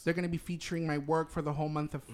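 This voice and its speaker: A man's voice: fairly high pitch, flat delivery, racing, a little mellow. A man co-hosting a comedic chat show, talking in a playful, campy way and laughing often. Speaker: co-host.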